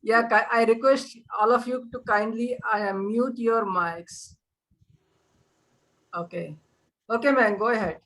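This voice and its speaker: A woman's voice: on the high side, a little slow, long pauses, rough recording. Effusive Indian-accented woman hosting. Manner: effusive, deferential, repeats phrases. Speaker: host of an online poetry event. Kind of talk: event hosting, unscripted.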